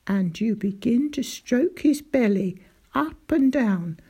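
A woman voicing a small elderly country doctor, excitable and easily outraged, in a storybook reading. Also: a little high, slow, slightly rough, heavily acted. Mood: genial. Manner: excitable, grave then outraged.

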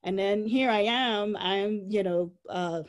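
A Trinidadian woman in her forties tells her own story in a relaxed interview. She speaks reflectively, often pausing on fillers and breaking into laughter. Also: medium pitch. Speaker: guest.

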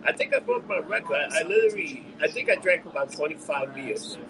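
Animated, high-pitched New York man. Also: fairly quick, background noise. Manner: brash, profane, rambling storytelling. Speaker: podcast host. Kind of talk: casual bar-talk podcast chat.

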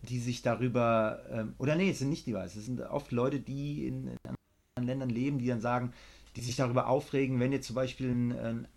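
A man's voice: medium pitch, steady tone, a little fast, vocal fry, darkish tone. Blunt rambling man, lots of fillers. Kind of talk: casual podcast chat.

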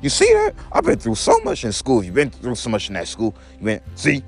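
A young man with a deep voice talking in a rambling daily vlog, repeating each point in an if-you, to-me pattern and calling his viewers guys. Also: huge pitch swings, fairly quick, soft background music. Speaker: vlogger.

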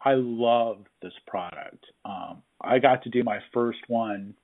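Husky man interviewing over a phone line. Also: medium pitch. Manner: effusive, informal, halting phrasing. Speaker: interviewer, hands-on tradesman. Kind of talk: casual interview chat. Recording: husky-sounding, thin phone-line audio.

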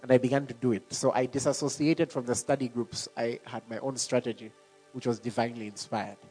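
A middle-aged man preaching a sermon. He talks in an animated way and laughs often between points. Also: mid-range voice, brisk, faint music behind, rough recording. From Zambia.

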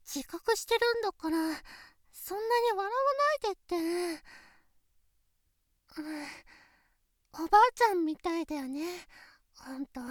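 Woman doing a sweet shy schoolgirl girlfriend, super high voice, stammers when embarrassed, dry close mic. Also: dry recording. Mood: chatty. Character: shy sweet teenage girl, a classmate girlfriend. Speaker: voice actress. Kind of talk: situation voice drama roleplay.

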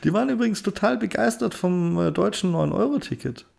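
A man's voice: sits high, animated, brisk, creaky, a little mellow. A German-speaking man co-hosting a casual gaming podcast, joking and teasing while telling anecdotes. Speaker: podcast co-host.

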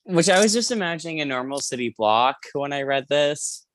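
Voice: mid-range voice, animated, a little fast, dry recording. A podcast co-host casually discussing books in an emphatic way, often repeating phrases.

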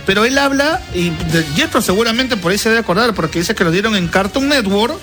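High-voiced chatty man hosting over music. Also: animated, brisk, pushed and bright. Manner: chatty, repeats himself, tags phrases with questions. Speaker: radio show host. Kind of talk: casual chat. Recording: music playing behind the voice. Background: music bed.

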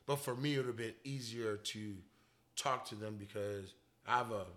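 A man in his forties co-hosting a casual podcast, talking in an easygoing, animated way with a slightly congested voice. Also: on the low side, normal speed. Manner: animated, joking, breaks into laughter. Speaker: podcast co-host. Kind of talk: casual chat.

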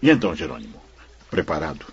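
An actor playing a humble, good-humored priest who runs a strict spirit ward, courteous yet firm, in radio drama style. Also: male voice, a bass voice, huge pitch swings, fast talker, gravelly, slightly rough, highs cut off, heavily acted. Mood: amused, jovial.

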